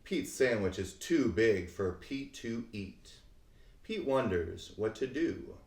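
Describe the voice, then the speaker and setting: A man reading a children's picture book aloud expressively, with deliberate phrasing and dramatic emphasis. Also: fairly low pitch, lively intonation, darkish tone. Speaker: read-aloud host. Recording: roomy, echoing sound.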